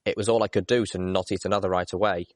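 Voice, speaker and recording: Low-voiced man reading a story. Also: steady tone, quick pace, dry recording, clean studio sound. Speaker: audiobook reader. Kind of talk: expressive first-person story narration.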